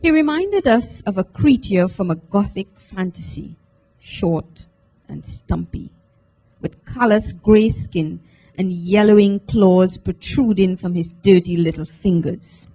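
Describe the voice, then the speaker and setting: Trini woman reading a novel out loud, takes her time, soft dark tone, kinda dramatic. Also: medium pitch, expressive, soft background music, low-quality recording. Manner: measured, deliberate, dramatic. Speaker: reader at a book launch. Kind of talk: expressive literary reading, first-person narration. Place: live book launch event at a library.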